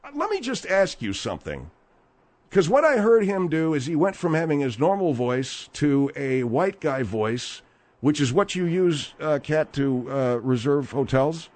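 A man's voice: on the high side, sing-song, darkish tone. A man hosting a comedy talk radio show. He delivers brash plugs and teases his guests. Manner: brash, quick plugs and teasing.

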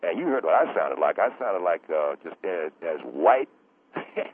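Brief: smug gadget pitchman played by a man, very low voice with big swoops, tinny phone line. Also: sing-song, moderate pace. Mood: boastful.

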